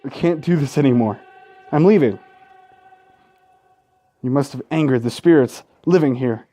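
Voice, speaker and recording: A man doing gaming commentary, reading a visual novel aloud with casual, sweary asides and an expressive, dramatic delivery. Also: mid-range voice, normal speed. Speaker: let's play host. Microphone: close gaming microphone.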